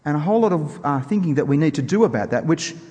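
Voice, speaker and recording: Man, slightly high-voiced preacher, measured and explanatory, recorded in a reverberant church hall. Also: expressive, dark tone. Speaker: preacher introducing a Bible reading. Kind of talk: informal sermon introduction to a congregation. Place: church hall before a congregation. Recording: echoey hall with long reverb.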